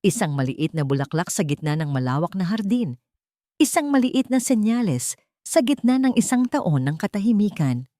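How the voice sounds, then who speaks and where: Woman doing dramatic story narration, kinda low and soft-toned, super dry recording. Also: very animated, moderate pace, dry recording.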